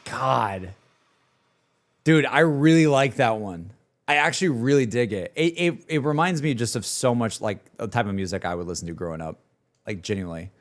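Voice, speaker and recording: A man chatting casually as a streamer reacting to music, with an excitable, slangy, rambling way of talking. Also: medium pitch, moderate pace. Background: music playing behind the voice.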